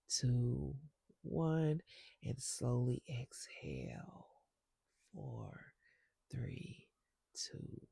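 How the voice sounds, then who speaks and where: A woman with a stuffy nose guiding a spiritual intention ceremony with breathwork, speaking slowly and softly and often dropping to a whisper. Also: a bass voice, vocal fry, breathy edge, dry recording. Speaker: spiritual circle facilitator.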